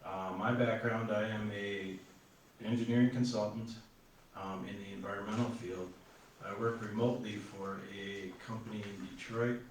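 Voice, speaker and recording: An older man from Wisconsin gives a plain, matter-of-fact introduction as a council candidate, pausing on fillers now and then. His low-ish voice is picked up by a distant mic. It sits inside a very echoey room. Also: fairly even intonation. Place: public forum room. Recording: echoey hall, distant mic, faint noise.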